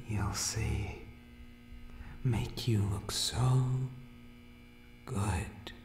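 A man's voice: on the low side, reverberant, slight background noise. A man voicing a monstrous, obsessive barber creature in whispered, broken, repetitive speech for a horror ASMR roleplay. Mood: eerie pride. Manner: broken, clipped speech with repeated words. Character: monstrous male barber creature from dark places, obsessed with cutting.

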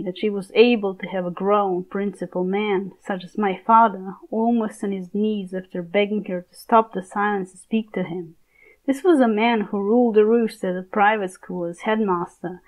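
A young woman giving a deliberate, theatrical scripted reading as an amateur voice actor. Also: medium pitch, a little mellow, low-quality recording. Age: young adult.

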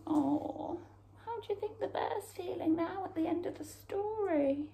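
A woman in her thirties reading a picture book aloud to young children in an expressive, theatrical way, drawing out words and dropping into hushed tones. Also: very high-pitched, measured pace, creaky. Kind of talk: animated children's storytelling. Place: small room at home, indoors.

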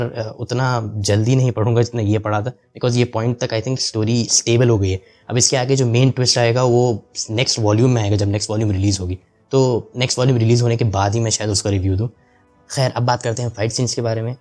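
Chatty young man, low voice. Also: a little mellow. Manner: chatty, mixes English into Hindi, frequent fillers. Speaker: anime review vlogger. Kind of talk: casual review voice-over. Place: voice-over over gameplay footage.

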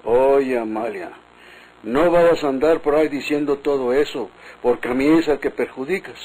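Actor as husky, exasperated Mexican village official. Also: male voice, medium pitch, normal speed, a little mellow, natural voice, rough recording. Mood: defensive. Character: exasperated village official, defensive husband dismissing suspicions. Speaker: actor in a radio drama. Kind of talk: vintage Mexican radionovela acting.

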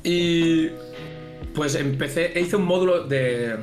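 A man's voice: a little high, takes it slowly, roomy sound, faint music behind. A young man improvising the part of a rambling, boastful suitor on a livestreamed dating show. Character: cocky young man posing as an adult film actor. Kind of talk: improvised comic role-play.

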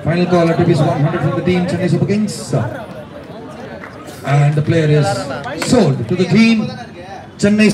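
A young man with a Tamil accent calling player bids as auctioneer at a rooftop event at night, heard through loudspeakers from a distance. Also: high-pitched. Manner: rapid rhythmic bid calling, switching to asides. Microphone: handheld mic through speakers, filmed from far. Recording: echoey PA, distant, crowd noise. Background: crowd chatter.

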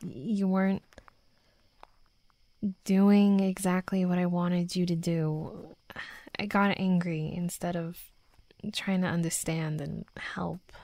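Unhurried tsundere werewolf girl, acted by a woman. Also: fairly low pitch, flat delivery, no room sound. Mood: apologetic, vulnerable. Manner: halting, defensive, teasing, often dropping to soft murmurs. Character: hot-headed, flustered young female tsundere werewolf, softening bully. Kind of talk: intimate roleplay audio drama.

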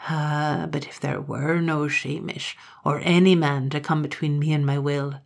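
A woman reader voicing a jealous, menacing Highland man with low, gloating menace in a gravelly, husky voice. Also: fairly even intonation, unhurried, heavily acted.

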